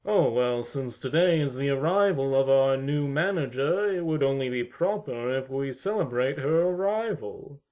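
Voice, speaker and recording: A man's voice: medium pitch, animated, normal speed, hardly any pauses, a little mellow, close to an everyday voice, poor audio. A man playing a courteous, mysterious tenant in a fan audio drama, stiffly formal and wordy.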